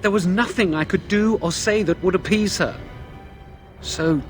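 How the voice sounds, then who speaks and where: A fairly high, youthful male voice plays an imprisoned mage in a fantasy game. He is earnest, anxious and weighed down by remorse, pleading to be believed. Faint music sits behind the dialogue. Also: unhurried. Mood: anxious explaining. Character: anxious young male mage prisoner, guilt-ridden and pleading. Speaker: video game character.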